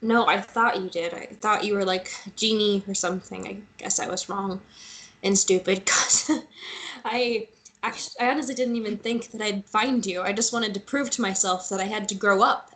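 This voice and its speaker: A woman's voice: mid-range voice, normal speed, a bit bright, natural voice. Casting: woman to play a chatty, playful young female lead with a vulnerable streak, casual delivery, romantic fantasy drama read. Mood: self-reproachful. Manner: casual, colloquial, teasing.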